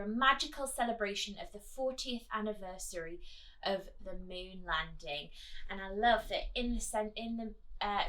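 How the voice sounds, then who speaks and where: A woman leads a storytime for children in a mid-pitched voice, a little way from the microphone. She reads expressively and talks straight to her young listeners in a chatty, teacherly way. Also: a bit bright, far from the mic, rough recording. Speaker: teacher reading a picture book to children. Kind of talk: storytime read-aloud with chatty asides.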